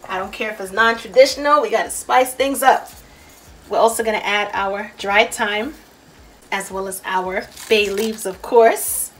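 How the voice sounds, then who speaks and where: A woman's voice: mid-range voice, measured pace, soft background music, poor audio. A woman narrating a recipe casually as a cooking host, chatty and playful, talking straight to viewers.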